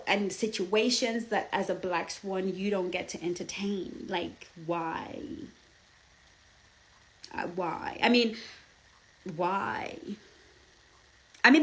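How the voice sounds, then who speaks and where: A woman's voice: mid-range voice, rough recording. A woman from Zimbabwe with a Zimbabwean accent, a relationship coach giving emphatic casual advice and often checking in with her listeners. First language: Shona. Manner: emphatic, lively, laughs often, repeats "okay?" and "do you understand?".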